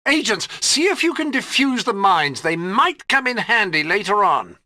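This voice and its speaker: A man playing a dry, fussy spy gadget master, giving precise, brisk instructions in a video game. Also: high voice, sing-song, pushed and bright, a little hiss or hum, full character voice. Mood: brisk, instructive.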